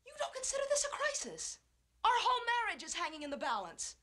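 Very high-voiced, dramatic young wife. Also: female voice, huge pitch swings, fast talker, pushed and bright, exaggerated voice. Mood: indignant. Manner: indignant, emphatic, melodramatic. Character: young wife, emotional, stubborn and dramatic, quarrelling with her husband. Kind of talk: theatrical romantic comedy acting.

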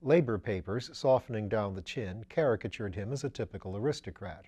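A man's voice: lowish voice, lively intonation, fairly quick, warm tone, husky. A man in his sixties reading a mystery novel aloud as a storyteller. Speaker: audiobook reader. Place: attic library room, seated in armchair.

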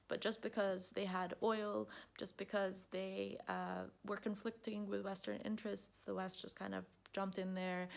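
Young woman, arabic first language, super fry-heavy gravelly voice, chatty book vlog on her webcam, lots of ums. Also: medium pitch. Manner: thoughtful, chatty, many fillers and restarts. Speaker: book vlogger. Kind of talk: casual book review. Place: home room with bookshelves. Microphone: webcam at close range.

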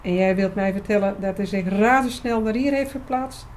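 An actress playing a frank, expressive young woman in an audio drama. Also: medium pitch, normal speed, a little hiss or hum, lightly acted. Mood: lively, teasing. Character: lively, frank young woman in love with priest friend. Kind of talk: Dutch audio drama dialogue.

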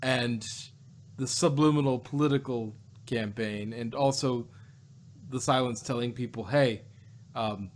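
Male review-podcast panelist, average pitch, conversational, halting as he explains. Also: a little hiss or hum. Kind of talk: casual chat reviewing an audio drama.

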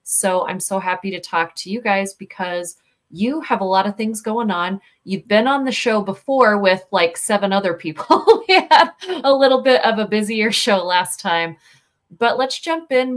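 A woman's voice: mid-range voice, animated, moderate pace. A woman hosting a casual online interview over a video call, talking in an upbeat, chatty way from a headset mic at home. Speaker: host. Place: home room with posters.

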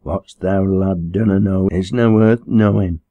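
A man's voice: low voice, steady tone, unhurried, dry recording, phone-quality sound. A man voicing a scornful working-class village matron in an audiobook, scolding in a Lancashire accent. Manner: sharp, scolding. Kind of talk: audiobook character dialogue.

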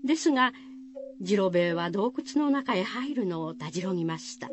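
A woman storyteller giving an expressive, dramatic scripted narration of a folk tale for radio. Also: mid-range voice, moderate pace, noisy background.

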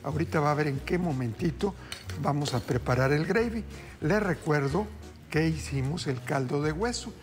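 Upbeat TV chef over music. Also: male voice, fairly high pitch, lively intonation, normal speed. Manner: warm upbeat patter, addresses viewers as family. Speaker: TV chef host. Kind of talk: live cooking demonstration. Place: TV studio kitchen. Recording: background music under the voice.

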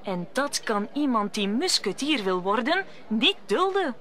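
A woman's voice: fairly high pitch, sing-song, measured pace, fairly bright tone. A woman narrating a scripted radio play as a storyteller.

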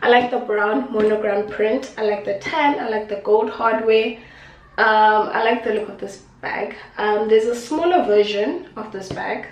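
A woman's voice: medium pitch, measured pace, recorded from a distance. A young woman from South Africa, sounding congested, chatting casually to camera as she unboxes a new handbag. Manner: chatty, affectionate, repeats herself. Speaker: beauty vlogger. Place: small indoor kitchen. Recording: echoey room, voice off-mic.

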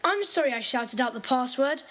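An eager young boy in a children's audio drama, earnest and keen to share his news. His voice is high, with a lively, bouncing lilt. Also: moderate pace.

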